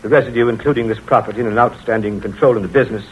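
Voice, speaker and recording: A brisk, proper secretary. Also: male voice, on the low side, steady tone, muffled recording. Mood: matter-of-fact. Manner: crisp, matter-of-fact. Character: brisk, proper confidential secretary, young man. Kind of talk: 1940s radio acting.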